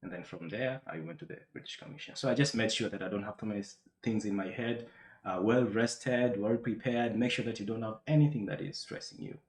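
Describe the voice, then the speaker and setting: A young man from Tanzania, a guest telling his story in a relaxed, chatty way. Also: fairly low pitch, a little fast, reverberant. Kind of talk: casual interview chat.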